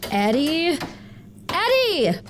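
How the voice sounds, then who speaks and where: A woman playing a bookish, sarcastic teenage schoolgirl in an audio drama, with slangy, expressive delivery. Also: sits high, very slow, slight background noise, exaggerated voice. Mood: annoyed.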